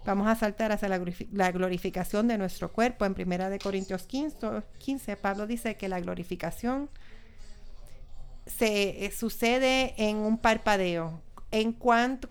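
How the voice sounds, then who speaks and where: A woman's voice: mid-range voice, smooth. A woman preaching a sermon with anecdotes, repeating key phrases for emphasis. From Pittsburgh, United States.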